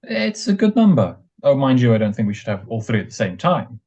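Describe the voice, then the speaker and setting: Male actor as young soldier husband, 1940s radio play, mellow dark tone, tender, hesitant, muffled recording. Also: medium pitch, sing-song, brisk. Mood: playful, affectionate. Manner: affectionate, teasing, wistful, hesitant. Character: tender, playful young returned serviceman husband. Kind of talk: 1940s radio drama acting. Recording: dull muffled audio, faint music.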